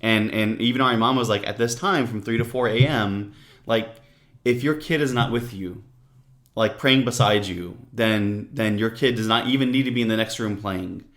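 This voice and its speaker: A man's voice: fairly low pitch, a bit bright. A man who is a guest on a podcast, telling his own story in a casual conversation and often restarting his sentences. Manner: halting, with many restarts and "if you will".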